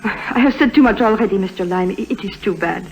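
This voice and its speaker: A woman's voice: medium pitch, a little fast, slight background noise, lightly acted. A woman playing a young, guarded European princess in a vintage radio romance, speaking in a formal, hesitant manner. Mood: guarded, wistful. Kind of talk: 1950s radio romantic drama acting. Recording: dull vintage radio recording, music behind.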